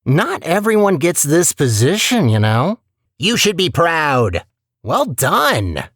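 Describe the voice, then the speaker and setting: Wry robot facilities manager, video game character, officious, condescending, swooping pitch, dry close recording. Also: male voice, huge pitch swings, slow, a bit bright, no echo, full character voice. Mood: brisk, approving. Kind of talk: scripted video game voice acting.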